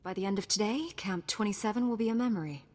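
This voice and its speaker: A woman voicing a composed, principled young Jedi knight in a video game, speaking in a measured, formal way. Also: medium pitch, darkish tone, natural voice. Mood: dry, resolute.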